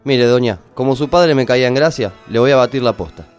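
An actor playing a cocky, slangy Argentine gambler with a Rioplatense accent, brash and sarcastic in a comic radio play. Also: male voice, mid-range voice, brisk, lightly acted. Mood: smug, condescending. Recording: music under the dialogue.

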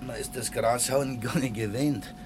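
An actor playing a pious, timid, earnest bridegroom with a Silesian accent in a German radio drama. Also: male voice, mid-range voice, moderate pace, crowd noise, lightly acted. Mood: earnest, uneasy. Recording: noisy old radio production.

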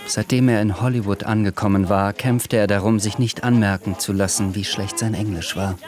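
A man's voice: fairly low pitch, steady tone, normal speed, crowd noise. A man reading scripted literary narration for a radio drama.

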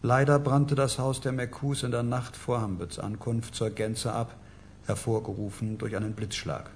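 An actor in a radio play playing a composed psychiatrist who narrates in a measured, clinical way. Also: male voice, mid-range voice, level tone, slight background noise, natural voice. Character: composed male psychiatrist recounting a patient's case.

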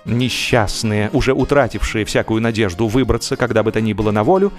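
Expressive male storyteller over music. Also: fairly low pitch, fairly quick. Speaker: audiobook narrator. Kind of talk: scripted humorous story narration.

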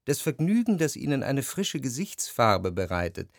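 An actor playing an introspective, analytical narrator in a literary radio drama, with a measured, reflective delivery. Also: male voice, mid-range voice, sing-song, no echo, studio quality. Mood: analytical, musing. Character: introspective, analytical male narrator looking back on love.

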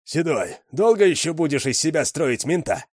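A man's voice: a little high, big pitch swings, moderate pace, darkish tone, husky, no room sound, studio quality, voice pushed up. A man doing a whiny young gang flunky. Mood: whining. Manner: whining, pleading. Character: whiny, cowardly young male gang underling.

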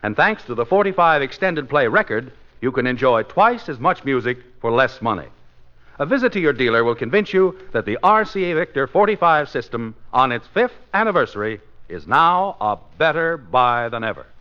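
A man's voice: mid-range voice, big pitch swings, moderate pace, pushed and bright. A man announcing a 1950s radio show in a scripted, presentational style, on a dull vintage recording.

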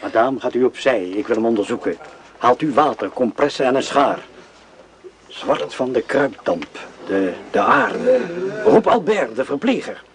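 A man's voice: mid-range voice, big pitch swings, a little slow. A man playing a brusque, overworked army surgeon in a radio play, curt and barking orders. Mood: brisk, commanding. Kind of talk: radio drama acting.

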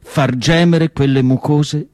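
A man performing a theatrical literary monologue, declamatory, with deliberate phrasing and pauses. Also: fairly high pitch, fairly even intonation, darkish tone, no echo, clean studio sound. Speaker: stage actor reading literary prose. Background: music.

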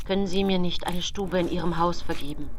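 An actress playing a polite woman seeking lodging in a radio play, speaking in a courteous, formal, measured way. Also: lowish voice, steady tone, noisy background, lightly acted. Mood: polite, pleading.

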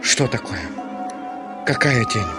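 An actor in a radio drama playing an irritable, haughty middle-aged gentleman who speaks in a curt, stern, suspicious way. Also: mid-range voice, slow, loud music behind the voice, close to an everyday voice. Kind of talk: Russian classic radio drama acting.

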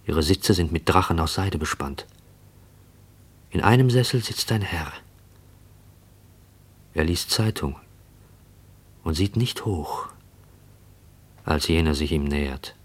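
A man narrating a radio drama in a deliberate, literary manner. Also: very deep voice, lively intonation, husky, full character voice. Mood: quiet, observant.

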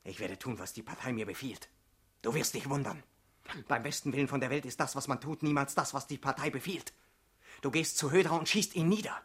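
Casting: young male political ex-prisoner for radio drama, mid-range husky voice, ironic, brooding, defiant. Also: medium pitch, fairly quick, fairly bright tone. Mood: agitated, defiant. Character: young ex-convict party member, bitter, talkative, defiant. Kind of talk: German radio drama acting.

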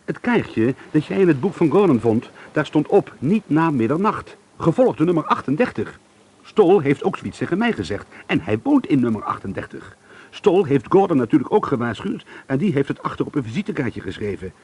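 An actor playing a curious, suspicious holidaymaker who paints, in a Dutch radio drama with a conversational, inquisitive delivery. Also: male voice, fairly high pitch, sing-song, normal speed, darkish tone, breathy edge. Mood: intrigued, suspicious.

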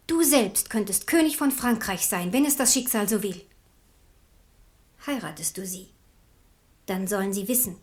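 A woman's voice: mid-range voice, natural voice. A woman as a grave, resolute queen mother. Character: proud, devout queen mother, embittered and resolute. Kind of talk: German radio play acting.

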